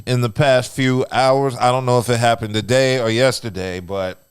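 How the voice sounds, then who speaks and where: A man co-hosting a podcast, talking things through steadily with lots of fillers, a naturally loud and booming voice close on a studio mic. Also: normal speed, smooth, no echo. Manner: steady explanatory talk with frequent fillers. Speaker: podcast co-host. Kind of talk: casual podcast discussion. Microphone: close radio-style studio mic.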